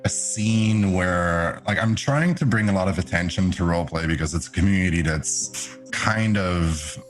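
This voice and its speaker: A low-voiced man from Canada, a native French speaker, talks through his streaming hobbies in a relaxed, chatty way. He laughs easily and his pitch leaps up when he gets excited. Faint music hums underneath. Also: normal speed, rough recording. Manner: chatty, laughs often, animated jumps in pitch. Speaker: podcast guest, streamer. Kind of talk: casual chat.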